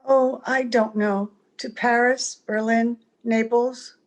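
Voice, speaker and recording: A woman's voice: fairly high pitch, fairly even intonation, slow. A woman playing a strange, weary, evasive young woman in an old-time radio drama. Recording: home video-call audio, music behind.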